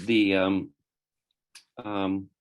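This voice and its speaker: A man from the Czech Republic giving an informal talk as a guest medical presenter in an online meeting, speaking haltingly with pauses mid-phrase. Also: deep voice, completely flat delivery.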